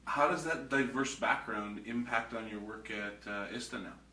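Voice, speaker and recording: A man hosting an interview, asking brisk, structured questions. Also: medium pitch, bright, forceful tone. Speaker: interviewer, host. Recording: echoey room, distant mic.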